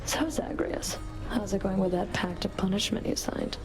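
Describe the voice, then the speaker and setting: An actress playing a cold, menacing Fury guarding the underworld in a video game, taunting and disdainful. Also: female voice, medium pitch, normal speed, over music, lightly acted. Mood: cool, disdainful.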